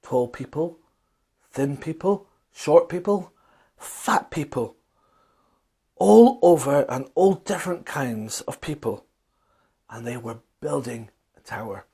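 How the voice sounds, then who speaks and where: A man telling a children's bedtime story, with dramatic pauses, emphatic repetition and questions to his listeners. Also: on the high side, animated, warm tone, breathy edge.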